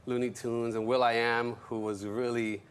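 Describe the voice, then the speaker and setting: A man's voice: mid-range voice, flat delivery, measured pace, clear, resonant tone. A man talking casually as an interview guest, easygoing and telling stories in a conversational way. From Colombian background. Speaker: guest, music executive and show creator. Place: TV studio.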